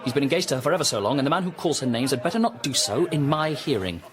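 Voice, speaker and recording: An actor as an upright young English officer in a period radio play. His medium-pitched voice is earnest and reproving, that of a long-suffering, honourable friend. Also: big pitch swings, very fast, some chatter behind, lightly acted. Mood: indignant. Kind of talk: period radio drama acting.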